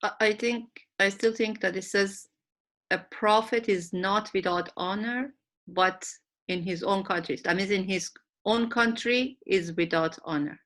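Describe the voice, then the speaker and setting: Bright-voiced woman. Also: medium pitch, unhurried. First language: Persian. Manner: earnest, explaining her view. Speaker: study group participant. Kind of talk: group discussion on a video call.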